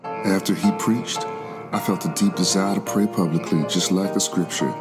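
A man's voice: deep voice, fairly even intonation, normal speed, loud music behind the voice, lightly acted. A man playing a devout, formerly enslaved preacher of the 1700s, telling his spiritual struggle in solemn, reflective first-person narration. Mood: earnest, reflective. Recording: loud musical backing.

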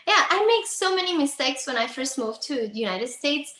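A woman's voice: sits high, very animated, normal speed, pushed and bright, roomy sound. A woman from Cuba, speaking English with a Cuban Spanish accent, an attorney talking earnestly and sometimes searching for words as an interview guest. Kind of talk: conversational interview.

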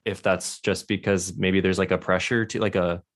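A man's voice: low-pitched, flat delivery, moderate pace. A man with an American accent, interviewing in a casual, informal and slightly rambling conversational way. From United States.

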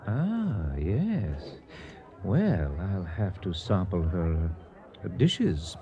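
An actor playing a suave, reserved food critic in a comedic radio drama, speaking in a formal, measured, bemused manner. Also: male voice, low voice, big pitch swings, dull audio, crowd noise, exaggerated voice. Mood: bemused, polite.

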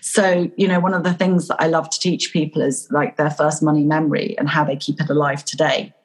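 A woman from Yorkshire, a coach and guest, talking in an animated storytelling way in a casual interview. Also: low-pitched, a little fast, rough recording. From Yorkshire, England.

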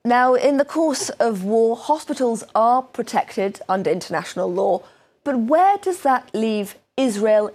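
A woman's voice: mid-range voice, a little mellow. A woman presenting a news interview programme, introducing the topic and putting questions to a guest.

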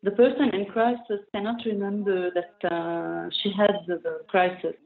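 A woman with a French accent translates answers into English, pausing often and searching for her words. She sounds thin and tinny over an internet call. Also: mid-range voice, steady tone, gravelly. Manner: hesitant, pausing with fillers.

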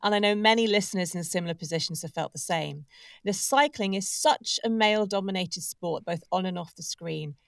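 A woman's voice: on the low side, brisk, gravelly, no room sound. A woman hosting an interview, asking questions and encouraging her guest with brief affirmations. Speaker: podcast host. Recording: dry, distant from the mic.

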